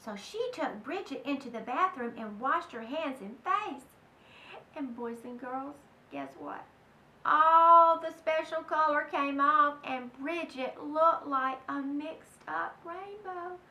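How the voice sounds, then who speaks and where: A woman's voice: sits high, takes it slowly, pushed and bright. A woman reading aloud to children in a dramatic, emphatic way, talking directly to her young listeners. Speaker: children's storybook reader. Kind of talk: animated read-aloud to children. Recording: echoey room, distant mic, faint noise.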